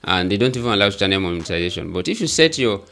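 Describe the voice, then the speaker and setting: A man with a Nigerian accent and a somewhat low voice walks through a tutorial step by step. He talks in an earnest, repetitive way, stressing each point. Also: very animated, fast talker, bright, forceful tone. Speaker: YouTube growth tutor. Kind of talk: tutorial talking to camera.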